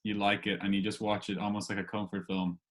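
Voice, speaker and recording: A man's voice: fairly low pitch, flat delivery, fast, fairly bright tone. A man hosting a casual interview chat, easygoing and a little tentative. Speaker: podcast host.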